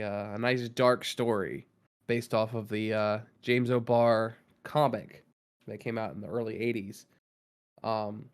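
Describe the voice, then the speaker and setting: A man with a gravelly, rough-edged voice talks casually as a podcast co-host. He goes over background at length, with plenty of ums and restarts, at a middling pitch for a man.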